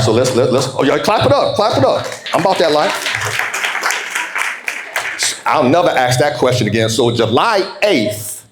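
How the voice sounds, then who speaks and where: An American man in his forties giving a motivational keynote, telling stories emphatically with dramatic pauses and colloquial call-outs to his audience. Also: medium pitch, echoey room. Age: 40s. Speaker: keynote speaker, business coach.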